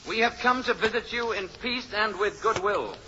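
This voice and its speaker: A man's voice: sits high, moderate pace. An actor playing a solemn, peaceful male alien in human form, speaking formally with long reverb in a 1950s radio drama. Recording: reverberant, dull, noisy old radio recording.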